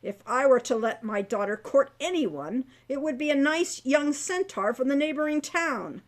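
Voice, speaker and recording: A woman's voice: high-pitched, expressive, normal speed. A woman voicing a stern, angry centaur father in a storybook, with formal, scolding speech. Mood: furious.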